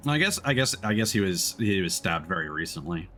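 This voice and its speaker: A man giving casual let's-play commentary as he streams a game, thinking aloud in a halting way. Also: low voice, big pitch swings, bright, forceful tone, faint music behind. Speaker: streamer playing a video game.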